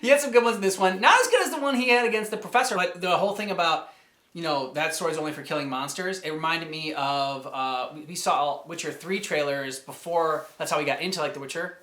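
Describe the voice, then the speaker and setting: Halting man in an echoey room. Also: a little high, sharp tone. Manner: halting, rambling, thinks aloud. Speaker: co-host of a game reaction show. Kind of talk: casual chat, reviewing game cutscenes.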